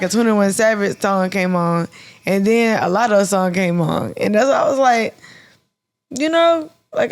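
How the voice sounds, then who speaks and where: A woman, co-hosting a podcast in a casual, giggly way. She talks loosely at a mid pitch. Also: no echo. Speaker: podcast co-host. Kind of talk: casual chat.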